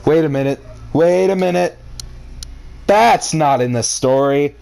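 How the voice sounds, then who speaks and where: A man's voice: a little high, unhurried, creaky, darkish tone, smooth. A man reading a story aloud as a comedic reader, adding exasperated, sarcastic commentary in a theatrical way.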